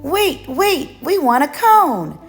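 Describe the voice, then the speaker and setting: A woman voicing exuberant, playful city children calling out to each other in a storybook, loud and emphatic. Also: sits high, big pitch swings, slow, soft background music, voice pushed up, full character voice. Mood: eager shouting.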